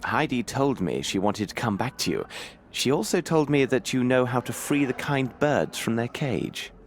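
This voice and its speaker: A man playing a composed gentlemanly detective in a video game, formal, measured and courteous. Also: mid-range voice, expressive, slight background noise, natural voice. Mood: gentle, coaxing. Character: composed gentlemanly detective disguised as a male nurse.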